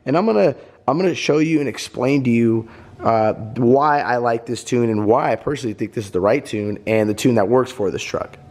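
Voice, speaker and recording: A hoarse man who has lost his voice, chatting casually and rambling in a vlog while driving his truck. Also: medium pitch, fairly quick, a little mellow, no echo. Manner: chatty, rambling, clears throat. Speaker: vlogger. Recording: noisy car interior. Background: engine and road noise.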